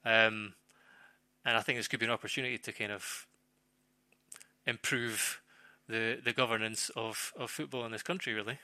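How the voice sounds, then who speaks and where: A man giving off-the-cuff football analysis as a pundit, haltingly, searching for words and repeating phrases. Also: fairly low pitch, fairly even intonation, sharp tone, dry recording.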